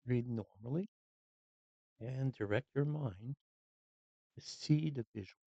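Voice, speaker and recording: A meditation guide speaking calmly and slowly in short, unhurried phrases with long pauses, leading a scripted relaxation. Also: mid-range voice, no echo, highs cut off.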